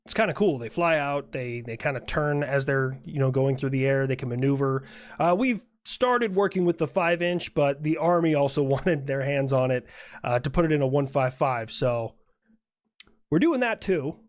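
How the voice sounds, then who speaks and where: Wry, mid-pitched casual skit explainer. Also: animated, moderate pace.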